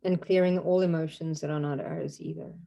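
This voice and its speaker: Female meditation guide: warm dark tone, very level intonation, soothing, unhurried phrasing with long pauses. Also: low voice, fairly even intonation, gravelly.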